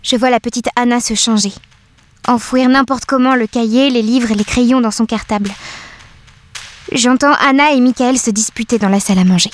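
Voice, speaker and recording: A woman's voice: a little high, moderate pace, a little hiss or hum, lightly acted. A woman playing a watchful, hopeful toy doll who narrates an audio drama in an earnest, poetic way. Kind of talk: literary first-person audio drama narration.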